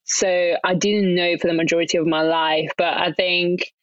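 A woman's voice: lowish voice, level tone, normal speed, dry recording, muffled recording. A young woman from Bulgaria, a podcast guest chatting in English as a second language, upbeat and laughing often between phrases. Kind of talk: casual interview chat.

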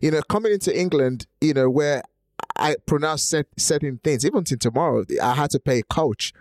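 A man from Nigeria with a Nigerian accent, English not his first language, hosting an interview in a relaxed way and often repeating words. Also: fairly high pitch, fairly quick, no echo, studio quality. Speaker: host. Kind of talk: conversational interview.

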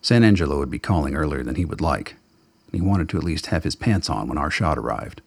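Very deep gravelly male narrator. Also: lively intonation, fast talker, no room sound. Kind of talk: scripted dramatic narration of a radio play.

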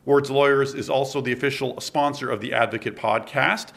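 Guy hosting a podcast, kinda gravelly, chatty and rambly. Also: medium pitch, steady tone, fairly quick, fairly bright tone. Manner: chatty, thinks aloud with asides. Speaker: podcast host. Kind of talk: casual interview. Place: studio table.

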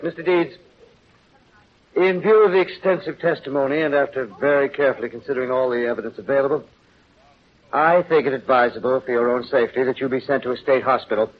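An actor playing a formal, deliberate courtroom judge in a vintage radio drama. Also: male voice, mid-range voice, fairly even intonation, close to an everyday voice. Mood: measured. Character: measured, patient, authoritative male courtroom judge. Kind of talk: 1930s-40s radio drama acting. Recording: muffled, noisy old radio recording.

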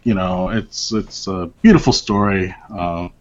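A man's voice: low voice, a little slow, a little mellow. A man as an interview guest, chatting casually with lots of fillers and restarts and a wry, self-deprecating manner. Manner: rambling, many fillers and restarts. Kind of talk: casual conversation. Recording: dull lo-fi audio.

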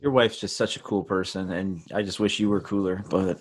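A man's voice: sits low, fairly even intonation, brisk, breathy edge. A man hosting a casual interview over a video call, joking loosely and restarting his sentences often. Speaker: podcast host.

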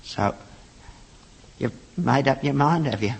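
A man's voice: medium pitch, expressive, takes it slowly, creaky. An actor playing a wheedling, self-pitying old rag-and-bone man with a working-class London accent, whining and pleading melodramatically in a sitcom. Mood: stubborn. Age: older. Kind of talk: scripted sitcom acting. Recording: echoey hall, noisy archive recording.

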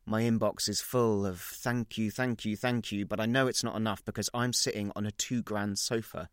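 A man's voice: on the low side, steady tone, normal speed, clear, resonant tone, no echo, clean studio sound, close to an everyday voice. A man reading a dramatic first-person story as a guilt-ridden, unravelling, well-off narrator, confessional and intense in short clipped phrases. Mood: brooding, guilty.

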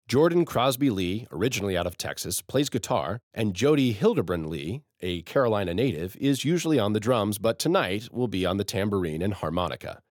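A man's voice: on the low side, no echo, studio quality. A man reading a scripted introduction as the host of a radio show. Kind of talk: scripted broadcast narration.

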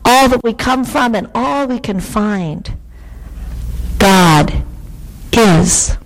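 Woman voicing old female turtle, storybook sage, very slow, gentle, solemn, higher pitched. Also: expressive, full character voice. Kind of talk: storybook read-aloud.

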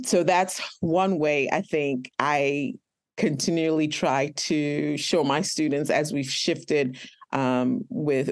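A woman pharmacist and teacher answering interview questions in a measured way, often pausing on "um". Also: extremely low pitch, darkish tone. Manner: measured, many "um" pauses. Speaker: pharmacist and educator.

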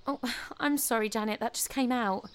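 A woman's voice: fairly high pitch, normal speed, smooth, close to an everyday voice. A woman acting a frank, self-assured young British girlfriend in an audio play, patient, firm and matter-of-fact. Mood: friendly.

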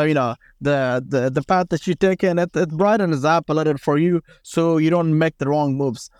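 A man in his thirties from Afghanistan with an Afghan accent, speaking haltingly with many fillers as an interview guest. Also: a little high, darkish tone, smooth, dry recording, clean studio sound. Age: 30s. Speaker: guest, former special forces commander. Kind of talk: casual interview talk.

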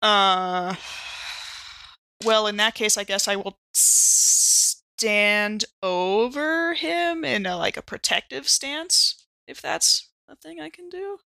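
A woman takes part in a tabletop game with a bright, forward voice in a dry recording. Her talk is casual and informal, at an average pitch. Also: slow, creaky, dry recording.

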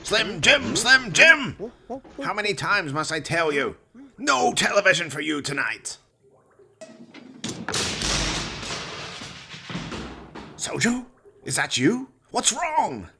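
A man's voice: high-pitched, very animated, unhurried, creaky, pushed and bright, voice pushed up, exaggerated voice. A man playing an evil scheming mad scientist in a horror radio play, theatrical, pompous and sneering. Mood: scolding anger. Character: evil scheming mad scientist doctor, mocking and prone to rage.

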